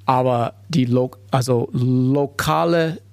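An American man talking in German, his second language, with an accent, pausing and restarting as he hunts for words. The sound is dry and close, with no room around it. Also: mid-range voice, creaky, no room sound. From United States. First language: English. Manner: halting non-native German, searching for words, many restarts. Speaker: human rights investigator. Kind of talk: interview conversation.

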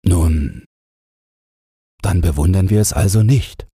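A man's voice: low-pitched, slow pace, warm tone, breathy edge, no room sound, heavily acted. A man voicing a male cage overseer in an audiobook, with an obliging, condescending, questioning manner. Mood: patronizing, brisk. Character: male cage overseer, patronizing, humoring, puzzled.

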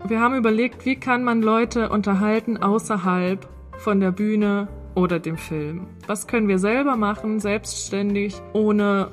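A woman hosts a podcast in a casual, conversational style. Her voice sits at a medium pitch for a woman, and faint music plays behind her. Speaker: podcast host.

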